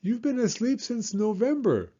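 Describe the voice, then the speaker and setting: Squeaky, eager storybook frog, big swooping voice; lo-fi audio. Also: male voice, moderate pace, husky, full character voice. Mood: eager coaxing. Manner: high, shouting, excited. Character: eager excited male frog friend.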